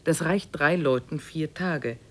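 An actress playing a weary trekker who narrates her expedition diary in measured, literary phrases for a 1950s radio play. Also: low-pitched, a bit bright. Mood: sober, matter-of-fact.

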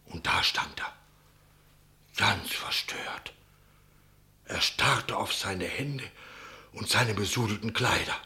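A man's voice: fairly low pitch, takes it slowly, pauses often, bright, forceful tone, in a whisper, heavily acted. An actor playing an anxious, talkative innkeeper in a German radio play, telling his account dramatically and deferentially. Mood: shaken, recounting. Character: anxious, talkative male innkeeper giving testimony.

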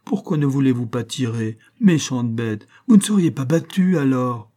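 A man's voice: mid-range voice, animated, normal speed, warm tone, pitched above the performer's own voice. A man voicing a petulant, gushing young woman in an adventure audiobook. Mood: gracefully despairing. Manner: pouting, indignant, gushing. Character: petulant, sentimental young woman on a sled expedition.

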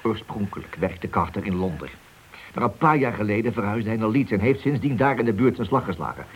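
An actor playing a tough, impatient police detective with a dry, brisk manner in a radio play. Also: male voice, fairly low pitch, expressive, slightly rough. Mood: defensive, brisk. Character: hard-bitten, impatient male police detective. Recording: dull, slightly noisy old radio drama recording.

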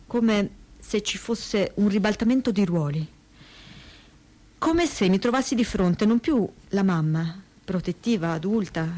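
Reflective actress as a survivor's troubled daughter. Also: female voice, mid-range voice, a little mellow, lightly acted. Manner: hesitant, searching phrasing with pauses and repetitions. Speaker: reader in a staged testimony reading. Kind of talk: dramatic reading of spoken testimony.

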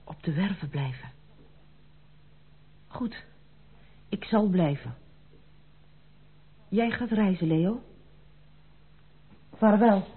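A woman's voice: mid-range voice, expressive, extremely slow, long pauses, husky, heavily acted. An actress playing a proud, independent young noblewoman in love, speaking with earnest, formal diction in a Dutch radio play. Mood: resigned. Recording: muffled, narrow-band old radio recording.

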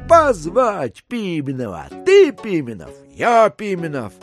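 A man voicing a gruff police captain interrogating a runaway serf in a theatrical audiobook, with barking, peremptory questions. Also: high voice, huge pitch swings, slow pace, soft background music, full character voice. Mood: stern.

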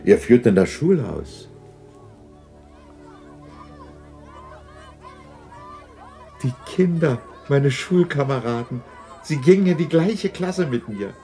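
A man's voice: mid-range voice, sing-song, a little slow, warm tone, soft background music, some chatter behind. A man acting a remorseful old miser moved by his memories in a 1960s German radio drama. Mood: delighted remembering. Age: older.

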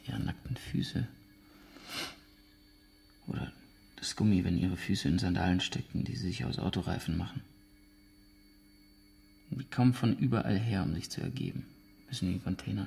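A man playing a traumatised, guarded ex-soldier in a naturalistic radio drama, speaking in halting, broken phrases. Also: sits low, darkish tone, a little hiss or hum. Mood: haunted, halting. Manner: halting, broken sentences, breathy near-whispers. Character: traumatised ex-soldier, haunted, guarded former team leader.

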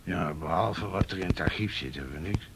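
An actor playing a weary, wry, middle-aged police officer in a Dutch radio play, dry and hesitant in manner. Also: male voice, deep voice, brisk, creaky, background noise. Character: weary, wry older male police officer in youth affairs.

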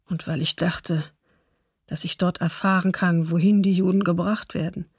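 An actress playing a subdued woman witness, husky and creaky, recounting her memories in a documentary radio drama. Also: on the low side, fairly even intonation, moderate pace, no echo, clean studio sound. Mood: subdued, bitter.

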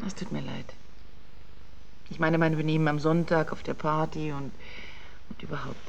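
An actress playing a jealous, unhappy middle-aged wife in a German radio drama, earnest, apologetic and insistent. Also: female voice, deep voice, level tone, normal speed, vocal fry, lo-fi sound, slight background noise.

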